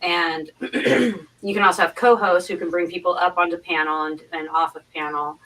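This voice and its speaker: A woman talks casually as a guest on a stream, her voice forceful and on the low side. She often doubles back on her phrases as she explains. She sounds somewhat distant from the microphone. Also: moderate pace, slight background noise. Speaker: guest on a live stream, stream producer. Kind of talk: casual chat, explaining and recounting.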